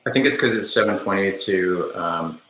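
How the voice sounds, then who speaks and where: A man with a fairly low, forceful voice leads a casual team call. He lays out decisions plainly and then checks in with the others. The room around him adds a clear echo. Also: normal speed. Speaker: team lead running a release call. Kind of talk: casual work discussion. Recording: echoey room on online call.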